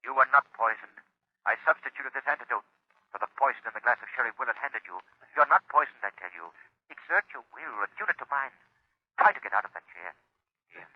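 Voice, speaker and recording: A man's voice: medium pitch, lively intonation, slightly rough, dry recording. A man playing a commanding, unseen mystery hero through a filter in a 1930s radio drama. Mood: urgent, commanding. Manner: urgent, commanding, deliberate. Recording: muffled, telephone-like old radio sound.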